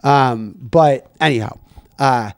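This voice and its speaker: A man's voice: medium pitch, takes it slowly, vocal fry, dry recording. A man hosting a casual comedy chat, riffing and swearing, rambling with restarts. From Canada. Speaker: podcast host.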